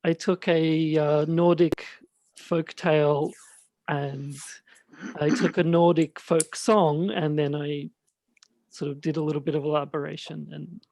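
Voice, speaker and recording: Casting: male podcast host with a light, high-pitched voice, slow and halting chat, recorded dry. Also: flat delivery, creaky, a little mellow, no echo. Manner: hesitant, halting delivery. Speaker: host and radio play director.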